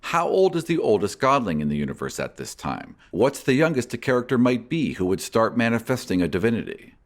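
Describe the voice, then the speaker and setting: A man's voice: mid-range voice, sing-song, fairly quick. A man reading out fan questions and scripted answers as a podcast host and game master, in an articulate, expository way.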